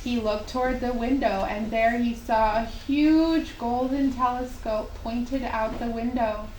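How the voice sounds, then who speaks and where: A woman narrating a children's puppet show story with gentle, deliberate scripted phrasing. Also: a little high, steady tone. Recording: echoey, distant mic, faint hiss.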